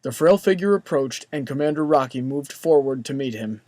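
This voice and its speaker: A man's voice: mid-range voice, expressive, moderate pace, a little mellow, no room sound. A man reading scripted story narration as the narrator of an audiobook. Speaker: narrator, fan fiction reader. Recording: clearly noisy background ambience.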